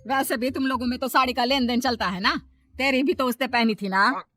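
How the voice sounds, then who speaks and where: A woman playing a bossy, sharp-voiced older mother-in-law. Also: sits high, steady tone, fairly quick, faint music behind. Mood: bossy, scolding. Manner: scolding, domineering.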